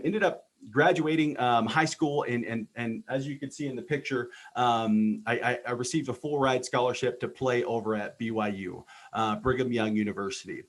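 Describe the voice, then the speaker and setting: A man in his thirties, from Texas, hosting a motivational podcast in an earnest, informal way with many filler ums and repeated words. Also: mid-range voice. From Texas, United States. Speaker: podcast host. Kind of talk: motivational personal monologue.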